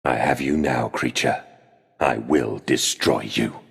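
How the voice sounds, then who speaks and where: Gravelly, guilt-ridden father. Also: very deep voice, heavily acted. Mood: grim, resolute. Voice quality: gravelly, husky. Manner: heavy, mournful, deliberate dramatic delivery. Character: guilt-ridden grieving father, tormented creator, grimly resolute. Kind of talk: dramatic video game acting. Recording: long hall reverb.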